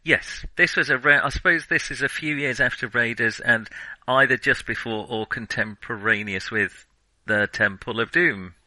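A man's voice: mid-range voice, normal speed, pushed and bright, no room sound, poor audio. A man co-hosting a casual film discussion, talking in a tentative, discursive way and qualifying his points as he goes. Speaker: podcast co-host.

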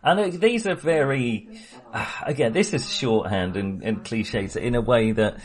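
A man's voice: medium pitch, a little slow. A man co-hosting a podcast, chatting about a film in a rambling way, often restarting and laughing as he talks. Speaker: podcast co-host. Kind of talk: casual film discussion.

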